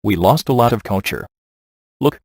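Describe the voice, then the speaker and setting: A man playing a wisecracking, sardonic ghost hunter in a video game, with a deadpan, offhand delivery. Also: on the low side, moderate pace, slightly rough, no room sound. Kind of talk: video game voice acting, comic one-liners.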